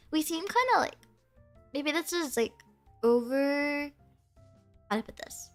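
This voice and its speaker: A young woman streaming and chatting casually with her viewers, bubbly and giggly, full of "like" fillers, with a stuffy, sniffly nose. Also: on the high side.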